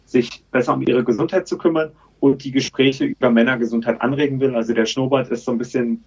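A man's voice: mid-range voice, steady tone, fast talker, darkish tone, slightly rough, lo-fi sound. A man in his thirties from Berlin, a podcast guest telling his story in a chatty way with many fillers. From Berlin, Germany. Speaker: podcast guest, charity campaign manager. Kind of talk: casual conversational interview.

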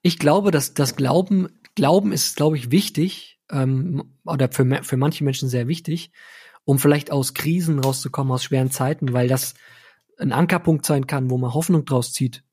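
A man co-hosting a podcast, talking casually in a hesitant, halting way. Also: mid-range voice, a little mellow, breathy edge. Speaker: podcast co-host. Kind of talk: casual chat.

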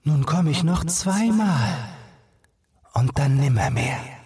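Man acting a gentle phantom queen, solemn young mother, high-pitched, very slow, fairy-tale audiobook. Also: sing-song. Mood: solemn, plaintive.

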